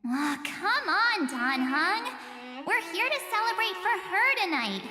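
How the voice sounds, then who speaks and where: A bubbly young game heroine with a very high, swooping voice. She is boastful, playful and sentimental, drawing her words out in a big echoing space over music. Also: a little slow, heavily acted. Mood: playful, coaxing. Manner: playful, expressive, dramatic. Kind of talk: English video game voice acting. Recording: echoey reverberant hall with music. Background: music with strings.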